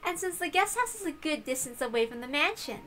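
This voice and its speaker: A young servant girl voiced by a woman speaks in a high, bright tone. She is formal, gentle and a little hesitant, always deferring to those she serves. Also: big pitch swings, fairly quick, soft background music, exaggerated voice. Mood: polite. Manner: deferential, soft-spoken, hesitant.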